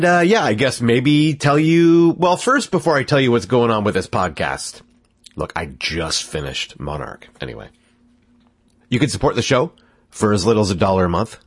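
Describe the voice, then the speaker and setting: A man's voice: on the low side, no room sound. A congested man hosting a podcast, rambling casually and cutting himself off as he goes. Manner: rambling, self-interrupting, laughs at himself. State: congested from a cold. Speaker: podcast host.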